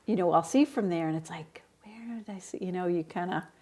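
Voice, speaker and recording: A woman's voice: fairly low pitch, brisk, a little mellow. A woman hosting a library interview show, friendly and encouraging, with frequent ums as she asks questions. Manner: friendly, encouraging, many fillers. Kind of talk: casual interview.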